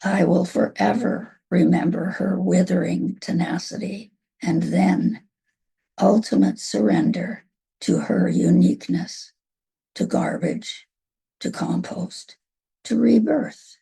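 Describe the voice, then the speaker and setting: An older woman, over sixty, reads a poem in a measured way with pauses between short phrases, her voice husky and gravelly. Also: on the low side, steady tone, mellow, poor audio. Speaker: writer reading own work. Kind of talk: slow literary reading of a poem.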